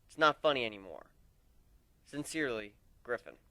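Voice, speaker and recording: A man's voice: mid-range voice, lively intonation, moderate pace, sharp tone, smooth, no echo. A man voicing a brooding, sardonic male griffin captain in an earnest, theatrical dramatic reading. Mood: indignant. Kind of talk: dramatic reading of fan fiction.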